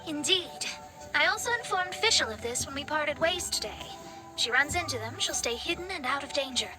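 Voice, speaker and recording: A young genius astrologer in a video game, proud and sure of herself. The voice is very high, with music clearly behind it. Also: female voice, expressive, moderate pace. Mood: confident. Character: self-assured young female genius astrologist, haughty, quick-witted. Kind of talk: English video game voice acting.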